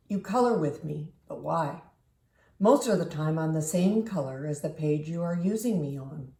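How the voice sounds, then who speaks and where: A woman voicing a forlorn, neglected storybook crayon in a plaintive way with hesitant pauses. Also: fairly low pitch, mellow, distant mic. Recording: roomy, echoing home recording.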